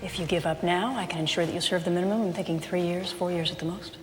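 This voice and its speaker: An actress playing an assured power broker who bargains coolly in a film scene. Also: female voice, on the low side, fairly even intonation, quick pace, in a large hall, soft background music, close to an everyday voice. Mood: cool bargaining. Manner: cool, bargaining. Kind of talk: film dialogue.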